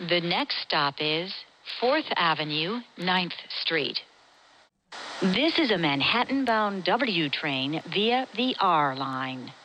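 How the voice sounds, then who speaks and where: A woman with an American accent reads scripted transit announcements in a measured, formal, clearly enunciated way. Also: lowish voice, very animated, pushed and bright, a little hiss or hum, rough recording. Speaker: subway announcer.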